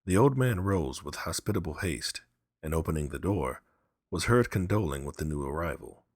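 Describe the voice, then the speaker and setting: Male narrator for classic fiction: deep, husky, animated and deliberate, recorded dry. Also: no echo. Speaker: story narrator. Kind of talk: expressive scripted literary narration.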